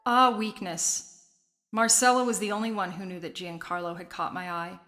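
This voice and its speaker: A woman playing an older Sicilian woman who narrates her youth in a radio play, measured, confiding and wistful. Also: lowish voice, flat delivery, bright, forceful tone, soft background music. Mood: wistful, sensual.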